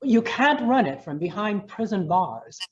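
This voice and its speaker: A woman's voice: medium pitch, big pitch swings, unhurried, muffled recording. A woman playing a blunt, no-nonsense gangster's lawyer in an old time radio crime drama, speaking emphatically. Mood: alarmed, urging.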